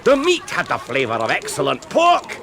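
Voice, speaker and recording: A man's voice: a little high, huge pitch swings, normal speed, vocal fry, crowd noise, exaggerated voice. An actor playing a boastful, gleeful Scottish cannibal outlaw in a radio play, telling his tale theatrically and with relish.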